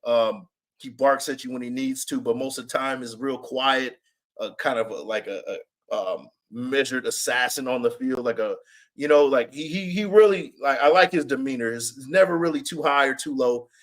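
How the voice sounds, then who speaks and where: A man with a raspy voice hosting a sports fan podcast, chatting casually and repeating himself for emphasis. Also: medium pitch, normal speed. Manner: animated, repeats himself, reads chat comments aloud. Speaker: podcast host. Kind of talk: casual live-stream chat.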